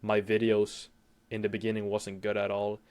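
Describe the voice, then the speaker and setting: A young man from Sweden with a Swedish accent, speaking English as a second language as an interview guest, hesitant and halting with frequent restarts. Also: on the low side, monotone, darkish tone, clean tone. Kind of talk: casual interview chat.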